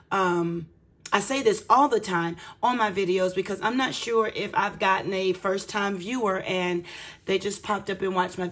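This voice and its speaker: A woman budgeting vlogger chatting casually and explaining things to viewers in a folksy, self-deprecating way, her voice slightly cracked and raspy. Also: medium pitch, fairly bright tone.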